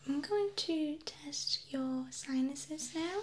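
A woman whispering gently and reassuringly, unhurried and breathy, as a medical examiner in an ASMR roleplay on a close binaural microphone. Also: high-pitched. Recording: faint background noise, close-miked whisper.

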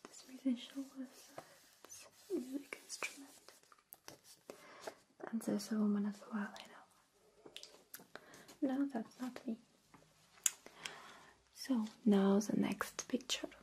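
A woman who is not a native English speaker, whispering softly and reassuringly in an ASMR roleplay as a gentle, friendly alien, with halting phrasing. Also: a little high, background noise.

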